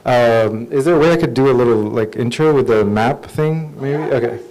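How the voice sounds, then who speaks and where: A man's voice: medium pitch, moderate pace, darkish tone, a little hiss or hum, low-quality recording. A screenwriter introducing his script to a live audience, hesitant and friendly, with many fillers.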